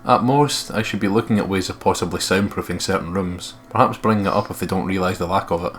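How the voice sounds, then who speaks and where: Low-voiced, blunt man gaming. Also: fast, a bit bright. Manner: sweary, blunt. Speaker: let's play co-host. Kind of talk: reading game text aloud with casual commentary.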